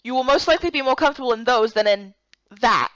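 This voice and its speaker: A woman's voice: fairly high pitch, lively intonation, fast, a bit bright, no echo, highs cut off. A woman voicing a stern, brusque female guild leader with a curt, imperious manner, for a voiced story game.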